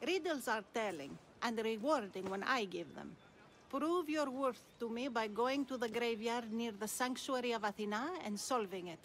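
A woman acts as a mysterious, cunning quest giver in a video game. The voice is fairly high, formal and self-assured with a teasing edge, over clear ambient noise. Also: normal speed, close to an everyday voice. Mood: challenging. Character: poised, cunning middle-aged mysterious female quest giver. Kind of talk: video game cutscene acting.

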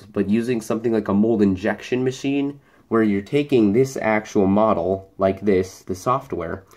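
A young man with a somewhat low voice talks through a hobby build in a relaxed, explanatory way. He compares pieces point by point as if they sit on the table in front of him, in an easy show-and-tell manner. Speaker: hobbyist YouTuber. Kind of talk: casual show-and-tell narration.

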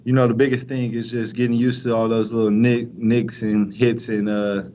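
Young man talking flat and halting. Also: medium pitch. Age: young adult. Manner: flat, halting, many fillers and restarts. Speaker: college basketball player. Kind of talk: postgame press conference answers. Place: press room in front of a sponsor backdrop. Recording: dull lo-fi stream with faint noise.

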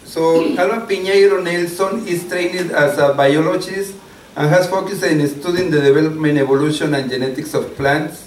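A man in his forties with a Mexican accent and Spanish as his first language addresses a public meeting as moderator. His voice is a little high. It echoes around the hall over faint noise. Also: distant mic. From Mexico City, Mexico. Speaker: event moderator, activist. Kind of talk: informal public speech, partly read introduction. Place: wood-panelled community hall, at a lectern. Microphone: mic on stand.

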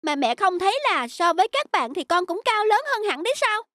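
A woman voicing a cocky, stubborn young male donkey foal in an animated fairy tale, over loud children's music. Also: very high voice, brisk, dry recording, clean studio sound, exaggerated voice. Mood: defiant, whiny.

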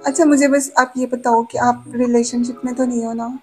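A man's voice: very high voice, level tone, moderate pace, faint music behind. A young man voicing a lovestruck, nervous female student who pleads coyly, in a prank phone call. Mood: earnest, pleading. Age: young adult. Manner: coy, pleading. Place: small indoor room.

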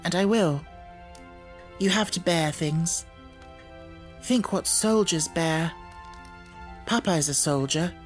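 A man's voice: a little high, slow pace, long pauses, faint music behind, pitched above the performer's own voice. A man voicing an earnest, imaginative, brave little schoolgirl in a storybook, speaking reflectively. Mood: stoically brave.

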